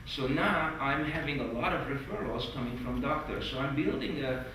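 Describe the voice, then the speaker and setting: A man with a Hungarian accent teaching a stage hypnosis course to a group, earnest and eager, with non-native phrasing and lively emphasis. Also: mid-range voice, normal speed, background noise. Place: large hotel meeting room. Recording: echoey hall recorded from far off.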